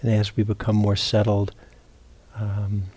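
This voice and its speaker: A man teaching meditation, giving guided instruction in a halting, unhurried way with long pauses and repeated words. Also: lowish voice, monotone, a little mellow, slightly rough. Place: meditation retreat center.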